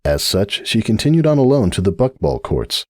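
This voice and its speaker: Male narrator, lowish pitch, very animated intonation, dry close sound, dramatic story reading. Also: moderate pace, no echo. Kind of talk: dramatic scripted fan fiction narration.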